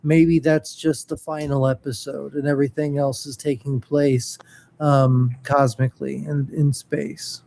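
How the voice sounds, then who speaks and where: A man co-hosting a casual chat about comics, talking haltingly with many fillers and restarts. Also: mid-range voice, flat delivery, vocal fry, warm tone.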